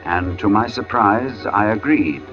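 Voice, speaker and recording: An actor playing a brooding, uneasy artist, narrating in measured, dramatic phrases in the style of 1940s radio suspense. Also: male voice, fairly low pitch, close to an everyday voice. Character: brooding, uneasy male artist recounting an ominous day. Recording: dull old radio recording with music.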